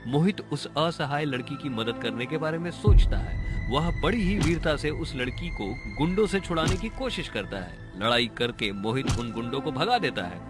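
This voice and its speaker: A man tells a cartoon horror story in scripted narration. His pitch sits in the middle for a man, and music plays clearly behind his voice. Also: animated, moderate pace, hardly any pauses.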